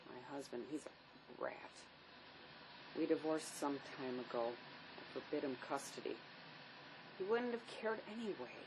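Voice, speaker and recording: A woman's voice: sits low, sing-song, a little slow, pauses often. A woman acting a weary, grieving divorced mother in a drama, world-weary and subdued. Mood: grieving, bitter. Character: grieving, weary divorced mother who lost her young son. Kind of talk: stage-style dramatic play acting. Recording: noisy amateur recording.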